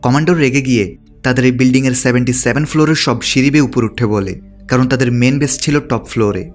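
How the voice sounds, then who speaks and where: A man's voice: mid-range voice, quick pace, faint music behind. A man narrating a film's story from a script, as a recap narrator. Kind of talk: scripted narration of a film plot.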